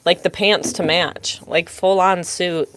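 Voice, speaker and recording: A woman co-hosting a casual podcast, chatty and hoarse as she loses her voice. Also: lowish voice, steady tone, slow pace, fairly bright tone. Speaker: podcast co-host. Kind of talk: casual chat.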